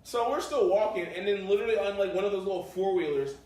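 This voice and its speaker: A man's voice: high voice, quick pace, a little mellow. An American man co-hosting a podcast, telling stories casually in slangy, profane language and acting out what people said. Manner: slangy, profane, acts out quotes. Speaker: podcast co-host. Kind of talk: casual animated storytelling among friends. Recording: very echoey room, distant mic, faint noise.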